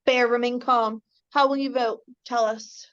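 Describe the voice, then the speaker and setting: A woman's voice: a little high, steady tone, a little slow, fairly bright tone, smooth, highs cut off. A woman voicing a clever, scheming male baboon with a dry, sardonic delivery, in an amateur radio drama table read.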